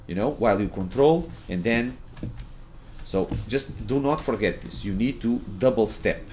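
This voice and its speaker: A young man from Portugal with a Portuguese accent, giving a relaxed, halting game tutorial at home with lots of fillers and restarts. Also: lowish voice, slight background noise. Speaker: gaming tutorial creator. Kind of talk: casual game tutorial commentary. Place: home, at his computer.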